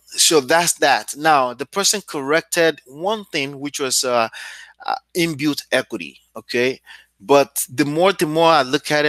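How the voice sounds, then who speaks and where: A man's voice: medium pitch, a bit bright, dry recording. A man from Nigeria with a Nigerian English accent, hosting a casual real estate video and often checking in with "okay?". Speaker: real estate channel host. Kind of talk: casual talk to camera with screen share.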